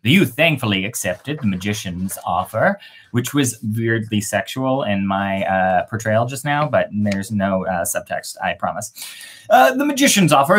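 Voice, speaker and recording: A woman's voice: very deep voice, slightly rough. A woman narrating a fairy tale as host, playful and breaking off for casual asides. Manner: playful, self-interrupting. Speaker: storyteller and host.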